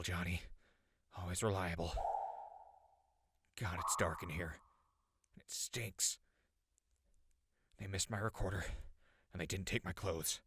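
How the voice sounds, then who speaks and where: An actor playing a rattled captive survivor in a post-apocalyptic audio drama, muttering halting notes into his recorder in a gravelly, husky voice. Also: deep voice, background noise. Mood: panicked. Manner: halting, muttering to himself, exclaiming.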